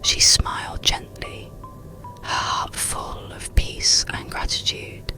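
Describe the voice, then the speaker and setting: A narrator reads a soothing ASMR bedtime story in a hushed, breathy, unvoiced whisper with a gentle manner. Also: slow pace, over music, poor audio.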